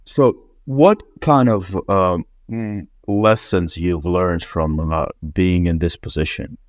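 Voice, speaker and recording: A man asking informal interview questions in a hesitant, halting way, often stumbling over his phrasing. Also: deep voice, dry recording, clean studio sound.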